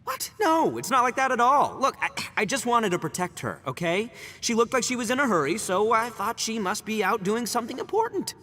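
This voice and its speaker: A man's voice: very high voice, very animated, a little fast, hardly any pauses, pushed and bright, slight background noise, exaggerated voice. A man playing a brash, boisterous young gang boss in a video game, with a swaggering, slangy, theatrical delivery. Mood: flustered, defensive. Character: brash boisterous young male gang boss, eager self-styled rescuer. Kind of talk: animated video game voice acting.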